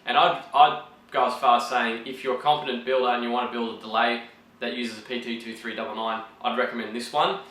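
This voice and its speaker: Give me a hoarse man, sounding a bit croaky, chatting hesitantly about his workbench project with a bright, forward voice. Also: medium pitch, flat delivery, fast talker, roomy sound, poor audio. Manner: rambling, hesitant, many ums and restarts. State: croaky, partly lost voice. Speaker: pedal builder presenting a build report. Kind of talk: casual build walkthrough.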